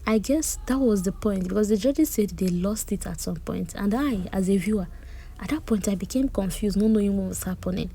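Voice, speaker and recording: A woman gives a chatty, animated recap of a reality-show drama, with personal asides. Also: mid-range voice, brisk, slight background noise. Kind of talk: casual recap commentary.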